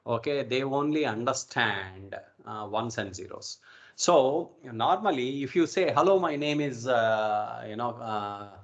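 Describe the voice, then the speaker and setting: A man with an Indian accent teaches informally over an online call. His voice sits at a medium pitch, and he explains in an instructive way, often checking in with a quick okay or right. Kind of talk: informal online networking lecture. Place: online video call recording.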